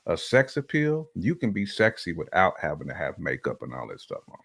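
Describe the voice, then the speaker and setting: Low-voiced man in casual slangy banter. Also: huge pitch swings, brisk, fairly bright tone, slightly rough. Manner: colloquial, slangy, repeats phrases for emphasis. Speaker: relationship podcast co-host.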